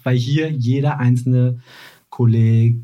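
A man's voice: mid-range voice, fairly even intonation, takes it slowly, muffled recording. A man in his thirties, not a native German speaker, chatting casually as a podcast guest and teasing with mock-dramatic exaggeration.

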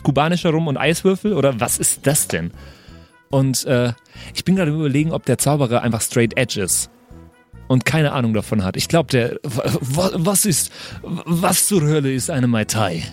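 A man's voice: on the high side, expressive, normal speed, soft background music. A man chatting casually in speech, jokey and thinking aloud as he plays a tabletop role-playing game with others. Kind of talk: casual chat.

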